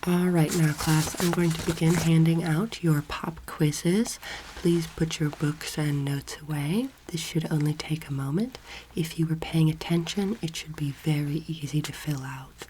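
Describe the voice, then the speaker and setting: Woman as patient alien teacher, ASMR roleplay, breathy, hushed, low-pitched, mellow, instructive, close-miked. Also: steady tone, poor audio. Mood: gently firm. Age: young adult. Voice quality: soft, breathy, often whispered. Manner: measured, instructive, gently authoritative. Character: patient, earnest female alien anatomy teacher. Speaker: ASMR creator playing a teacher. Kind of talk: soft-spoken ASMR roleplay. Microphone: close microphone. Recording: clear background noise, layered clicking sounds.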